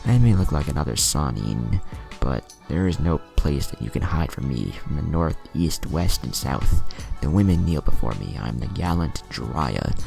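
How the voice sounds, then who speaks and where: A man as a boastful, gravelly old ninja sage. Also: a bass voice, animated, moderate pace, darkish tone, faint music behind, exaggerated voice. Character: boisterous older male ninja sage, boastful lecher.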